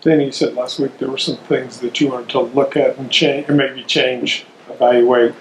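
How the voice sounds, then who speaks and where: A man's voice: mid-range voice, flat delivery, moderate pace. A man, a sports reporter asking a question at a press conference. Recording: echoey room, faint noise.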